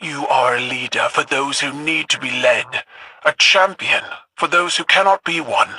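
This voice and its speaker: A man voicing an earnest, compassionate warrior in a video game, speaking sincerely and with measured care. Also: mid-range voice, bright, forceful tone, husky, no room sound. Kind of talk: dramatic video game voice acting.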